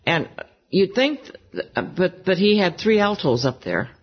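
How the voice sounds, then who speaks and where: An older woman with a low voice, chatting as a guest in an interview and gently telling stories. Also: very animated, measured pace, breathy edge. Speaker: interview guest, gospel singer. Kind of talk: casual interview conversation. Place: music convention. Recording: slightly dull lo-fi recording.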